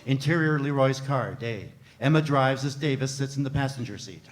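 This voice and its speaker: A man's voice: mid-range voice, moderate pace. An older man reading stage directions as scripted narration at a live table read, speaking into a stage microphone. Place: theatre stage before an audience. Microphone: microphone on stand.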